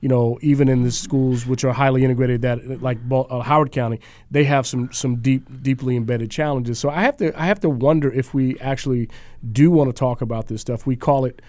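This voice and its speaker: A man speaking as a radio panel guest, halting with frequent restarts. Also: mid-range voice, fairly even intonation, a little mellow, no room sound. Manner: halting, many restarts and fillers. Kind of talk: casual discussion.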